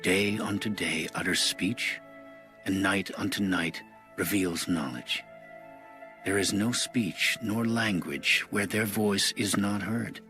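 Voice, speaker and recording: Deep, forceful male scripture narrator. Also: steady tone, soft background music. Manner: measured, formal delivery. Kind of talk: solemn audio Bible reading.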